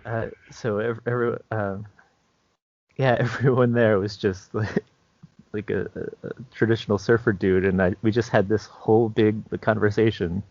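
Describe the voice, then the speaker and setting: A man's voice: lowish voice, fairly even intonation, moderate pace, a little mellow, no echo, muffled recording. A man co-hosting a podcast as a game master, chatting casually in a hesitant, understated way. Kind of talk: casual chat.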